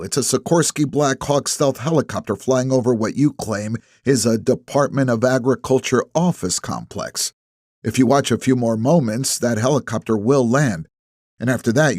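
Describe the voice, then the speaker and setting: A man's voice: medium pitch, darkish tone, dry recording, clean studio sound, lightly acted. A defiant male detective read by a male narrator who needles and pushes. His delivery is brash and sarcastic, in the style of audiobook dialogue. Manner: sarcastic, pushy, taunting.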